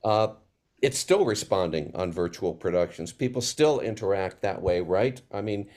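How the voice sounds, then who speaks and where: A man in a reflective panel discussion over a video call, halting and ruminative, posing rhetorical questions as he thinks aloud. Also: low voice, animated, darkish tone. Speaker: theater panelist.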